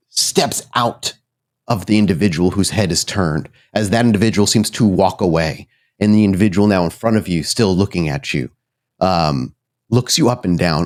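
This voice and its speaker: Low-voiced man narrating suspenseful horror. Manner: suspenseful, deliberate, dramatic pauses. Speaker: storyteller, game master. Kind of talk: narrating a tabletop horror game.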